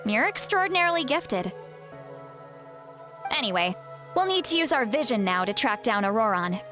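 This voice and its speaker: A woman voicing a kindly elderly shaman in a video game who strives to sound youthful, chatty, self-assured and gently guiding. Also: fairly high pitch, big pitch swings, moderate pace, soft background music. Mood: confident.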